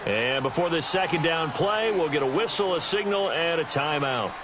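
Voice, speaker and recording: An actor playing a polished American football play-by-play announcer in a video game, calling action in clipped phrases. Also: male voice, fairly high pitch, animated, normal speed, lightly acted. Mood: measured, building. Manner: clipped, polished broadcast delivery. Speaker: play-by-play sports commentator. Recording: loud stadium game noise behind. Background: loud stadium and game noise.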